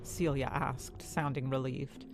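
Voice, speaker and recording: Mid-pitched storyteller over music. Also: fairly even intonation, unhurried, vocal fry. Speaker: narrator. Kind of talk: scripted story narration.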